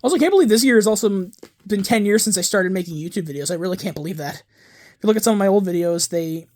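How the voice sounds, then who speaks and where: A man's voice: high voice, fast, a little mellow. Man, 20s, casual vlog, rambling, self-correcting, enthusiastic fan. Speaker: home video collector and YouTuber. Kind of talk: casual show-and-tell vlog.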